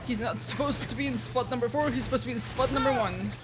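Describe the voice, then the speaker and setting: A woman game streamer giving casual, excited commentary in a slangy, gushing, reactive way. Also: fairly high pitch, fast. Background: game music.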